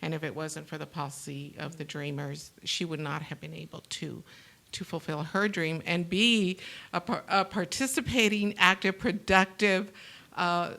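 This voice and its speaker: Low, gravelly-voiced woman host. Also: fairly even intonation, sharp tone. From New Mexico, USA. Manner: halting, many fillers and restarts. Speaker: talk show host. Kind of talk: panel discussion.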